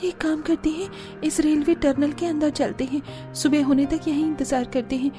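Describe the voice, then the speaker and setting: Woman acting a high-voiced, plaintive young daughter-in-law. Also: little pitch movement, normal speed, over music, lightly acted. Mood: worried. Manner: earnest, plaintive. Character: distressed young village daughters-in-law, pleading and worried. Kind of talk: cartoon drama acting.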